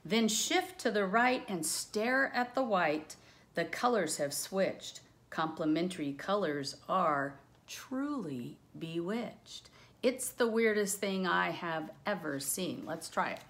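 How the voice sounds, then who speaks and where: A woman's voice: fairly low pitch, lively intonation, slow, fairly bright tone. A woman teacher reading a rhyming children's book aloud, with casual explaining asides spoken directly to young listeners.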